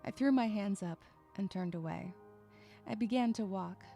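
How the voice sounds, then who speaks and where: A woman playing an irritable, sarcastic, troubled young female loner, narrating a first-person audio drama with expressive, sighing delivery. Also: medium pitch, normal speed, gravelly, soft background music, lightly acted. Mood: brooding.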